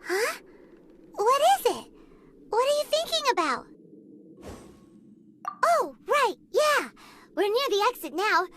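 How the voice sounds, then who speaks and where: A woman voicing a small, excitable, timid childlike fairy companion in a video game, with a squeaky, exclamatory delivery. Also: slow, long pauses, a little hiss or hum, heavily acted. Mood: relieved, uneasy. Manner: refers to self in third person, exclamatory. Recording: clear music behind. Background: game music.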